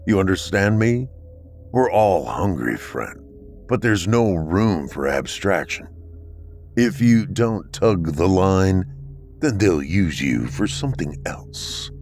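A man voicing a calm, androgynous bunker dweller from the far future, speaking slowly and sternly. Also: deep voice, a little hiss or hum, heavily acted. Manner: slow, measured, stern.

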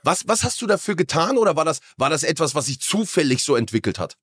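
Excitable male podcast host, showman energy, bouncy pitch, dry close studio sound. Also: husky, no echo. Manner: excitable, theatrical, quick to joke. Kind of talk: playful podcast interview.

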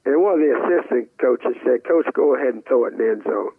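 Older man as interviewed coach, rough-edged voice, folksy anecdotes over a thin phone line. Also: medium pitch, fast talker, no echo. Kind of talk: casual anecdote telling.

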